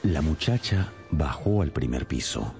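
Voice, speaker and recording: An actor playing a shrewd, self-assured detective in a radio drama, explaining things in a deliberate, theatrical manner. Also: male voice, rumbling low, lively intonation, darkish tone, faint music behind. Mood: assured, explanatory.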